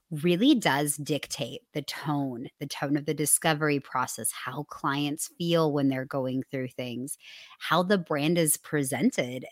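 A woman co-hosting a business podcast, talking casually and at length in an upbeat, loud way, a little hoarse. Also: deep voice, dry recording, clean studio sound. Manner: loud, upbeat, talks through ideas at length. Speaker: podcast co-host.

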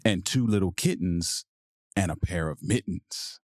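A man hosting a podcast and reading a children's picture book aloud in a measured, deliberate bedtime-story delivery. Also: low-pitched, dry recording, studio quality.

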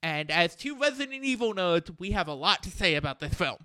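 A male podcast host with a high-pitched, very clear voice, recorded close and dry. He chats informally and rambles along in a casual, conversational way. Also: sing-song, brisk, bright, forceful tone, no echo. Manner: chatty, informal, rambling sign-off.

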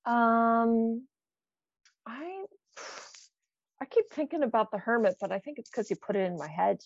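A woman with a noticeable vocal fry chats as a podcast co-host. She reads out items and muses over them encouragingly. The recording is dry and a bit muffled. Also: fairly high pitch, a little slow, dry recording. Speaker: podcast co-host, quiz reader. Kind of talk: casual banter, reading out quiz items.

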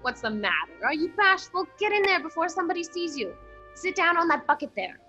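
A very high, bright voice for a ditzy, brazen young lady sleuth. She swoops around with blithe, chatty eagerness in a comic radio play. Music plays behind her in slightly muffled audio. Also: sing-song, a little fast, exaggerated voice. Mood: conspiratorial, bossy. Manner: breezy, chatty, blithely unconcerned. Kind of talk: comic old-time radio play acting.

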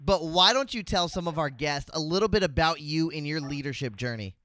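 A man's voice: a little high, fairly quick, bright, forceful tone, dry recording, studio quality. A man hosting a podcast, upbeat and gushing with praise. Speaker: podcast host.

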